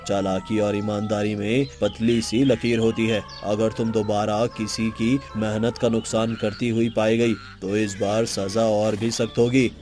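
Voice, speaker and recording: A man playing a stern, commanding lion king in a children's animated story, speaking deliberately and formally. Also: fairly low pitch, lightly acted.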